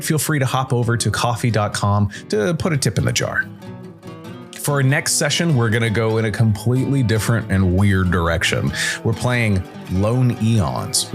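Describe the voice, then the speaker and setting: A man hosting a podcast, talking through a game in a conversational, explanatory review style. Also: fairly low pitch. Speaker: podcast host.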